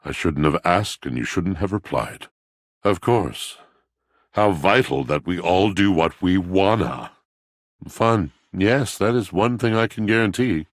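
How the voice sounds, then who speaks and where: Game role: brooding melodramatic male poet, rumbling bass, grandiose and seductive, recorded dry and close. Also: no echo, exaggerated voice. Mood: offended, curt. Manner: grandiose, formal, deliberate dramatic delivery. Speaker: video game voice actor. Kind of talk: theatrical, poetic character dialogue.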